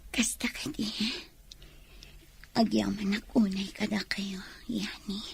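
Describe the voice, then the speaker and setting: Breathy, frail young patient. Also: female voice, medium pitch, little pitch movement, takes it slowly, exaggerated voice. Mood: weak, faint. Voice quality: breathy, creaky whisper. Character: weak young woman patient, frail and whispering. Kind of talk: radio drama acting.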